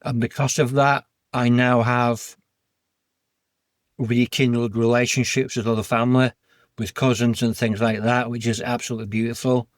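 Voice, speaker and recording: A man with a broad Yorkshire accent talks in an earnest, heartfelt, plain-spoken way as a podcast guest. His voice sits in a middling pitch range. The sound is dry and close, with no room echo. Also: flat delivery, moderate pace. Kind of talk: candid personal interview.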